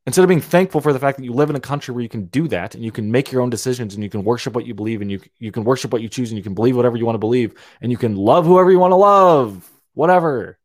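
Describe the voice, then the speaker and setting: A man's voice: medium pitch, racing, hardly any pauses, no echo, clean studio sound. A young man, born in Romania, hosting a podcast in a heated, swearing rant full of restarts and repeated words. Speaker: podcast host. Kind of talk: opinionated monologue.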